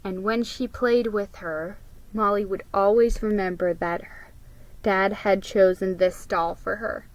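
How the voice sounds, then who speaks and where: A woman's voice: mid-range voice, fairly even intonation, a little slow, no room sound, low-quality recording. A woman giving a dramatic reading of a children's story, as a storybook reader.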